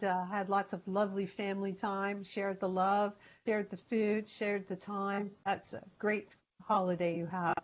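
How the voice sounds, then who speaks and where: A woman's voice: mid-range voice, muffled recording. A woman hosting a spiritual talk show, opening it in a rambling way full of fillers over a video call. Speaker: host.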